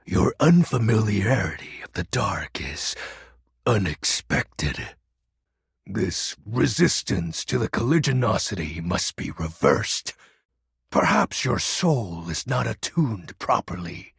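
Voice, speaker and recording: Casting: a self-important lord of darkness for a game; low, creaky rasp, slow theatrical grandeur. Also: male voice, big pitch swings, no room sound, studio quality, exaggerated voice. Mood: haughty, scheming. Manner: pompous, theatrical, archaic grandiose diction. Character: melodramatic, self-styled male dark lord, personification of darkness. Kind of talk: video game character voice acting.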